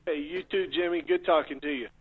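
Hoarse financial guest over a phone line. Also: male voice, on the high side, steady tone, a little fast. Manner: upbeat, easygoing sales talk. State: losing his voice. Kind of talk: radio guest segment.

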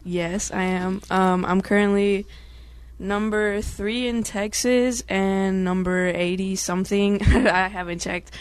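A man's voice: high-pitched, fairly even intonation, slow pace, clean tone, no echo. An eighteen-year-old man, a graduating student guest, speaking casually with many ums.

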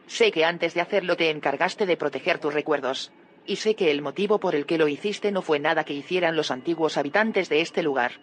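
A woman's voice: deep voice, a little hiss or hum, lightly acted. An actress playing an earnest, probing woman in an audio drama, serious and inquisitive. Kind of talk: audio fiction drama acting.

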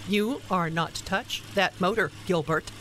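Woman acting a bossy, bright-voiced small-town grandmother. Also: lowish voice, measured pace, a little hiss or hum. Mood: scolding. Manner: dry, scolding, no-nonsense. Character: bossy, teasing older Midwestern grandmother, exasperated with her husband.

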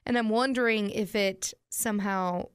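A woman in her thirties co-hosting a casual chat, expressive and given to dramatic complaining. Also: mid-range voice, moderate pace, clear, resonant tone, no room sound, clean studio sound. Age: 30s.

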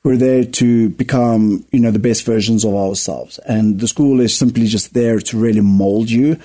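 A man's voice: fairly low pitch, fairly even intonation, normal speed, mellow. Earnest south african man, afrikaans native. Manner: earnest, repeats words as he searches for them. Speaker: guest, rugby player. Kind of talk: casual interview talk.

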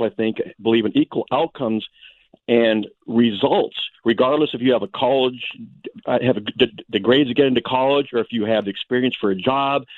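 A man calls in to a radio show over a tinny phone line. His voice sits at an ordinary middle pitch, and he speaks earnestly, halting and restarting phrases. The sound is dry and narrow. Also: dry recording. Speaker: caller. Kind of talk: phone-in call.

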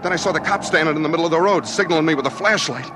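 An actor playing a nervous, desperate drifter posing as a truck driver in a 1940s radio drama, hesitant and evasive, with first-person noir narration. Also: male voice, on the high side, rapid-fire, lightly acted. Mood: bitter, restless. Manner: hesitant, evasive, stammering when questioned. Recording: noisy 1940s radio broadcast recording.

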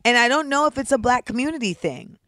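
A woman in her thirties with a deep voice, hosting a casual commentary show in a candid, sarcastic way and saying "like" often. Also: expressive, quick pace, a bit bright, no echo, clean studio sound. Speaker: host.

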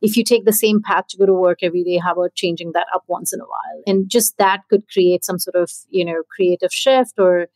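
A woman's voice: fairly low pitch, fairly even intonation, a little fast, few pauses, darkish tone, no echo, low-quality recording. A woman hosting a podcast, chatting casually and thinking aloud with lots of fillers. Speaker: podcast host. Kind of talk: conversational interview. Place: in India.

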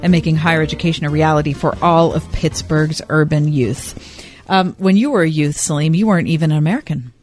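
A woman hosting a radio interview, speaking in a warm, affirming way with brief listening responses. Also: low voice, brisk, faint music behind. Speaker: radio host. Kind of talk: conversational interview.

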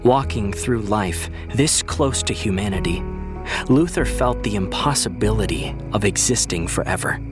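A man performing solemn, measured narration of a brooding vampire story for a fiction podcast. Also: on the low side, animated, music in the background, lightly acted.

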